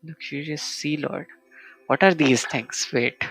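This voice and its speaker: A man casually commentating a solo game live stream, muttering to himself and reading item text aloud in a halting way. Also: fairly high pitch, fairly bright tone, slightly rough, soft background music, rough recording.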